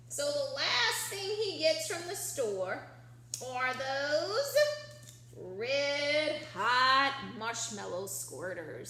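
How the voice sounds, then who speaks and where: A woman reading a picture book aloud to children like a teacher, expressive and encouraging, drawing out key words and putting questions to her listeners. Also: high voice. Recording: echoey room, faint background noise.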